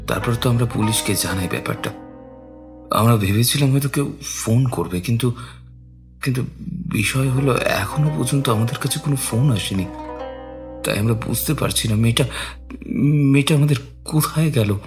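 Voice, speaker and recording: A man's voice: mid-range voice, expressive, normal speed, pauses often, over music, close to an everyday voice. An actor playing an anxious, earnest father in an audio drama. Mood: anxious, distressed. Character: worried father of a missing young girl.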